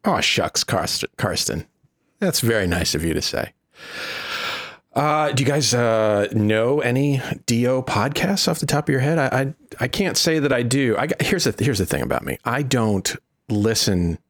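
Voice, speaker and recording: A man's voice: mid-range voice, big pitch swings, moderate pace. A man hosting a podcast in a playful way, sometimes slipping into mock-dramatic speech. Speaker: podcast host. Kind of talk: casual podcast chat.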